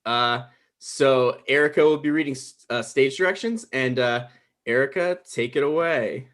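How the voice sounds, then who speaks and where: A man's voice: medium pitch, normal speed, fairly bright tone. A man casually introducing the readers at a script table read.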